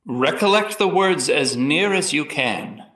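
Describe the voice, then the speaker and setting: A man's voice: fairly high pitch, animated, measured pace, fairly bright tone. A man playing a formal, probing 18th-century lawyer examining witnesses in a scripted trial re-enactment. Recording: roomy echo, distant from mic.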